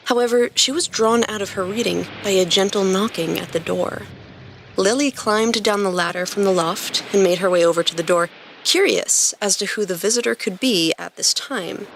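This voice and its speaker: A woman hosting a podcast and narrating stories in a clear scripted reading style. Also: medium pitch, normal speed, slight background noise, poor audio. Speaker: podcast host and story reader.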